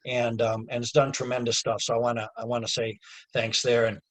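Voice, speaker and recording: A man's voice: mid-range voice, level tone, fairly quick, a bit bright. A man giving closing remarks on a webinar over a video call, earnest and growing emphatic. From United States. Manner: earnest, gets emphatic and raised. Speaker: community organizer.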